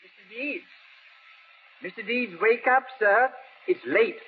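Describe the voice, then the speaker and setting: An actor playing a polite, formal, deferential butler in old-time radio drama style. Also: male voice, extremely high pitch, a little slow, breathy edge, full character voice. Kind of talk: 1930s-40s radio drama acting. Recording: muffled old radio broadcast.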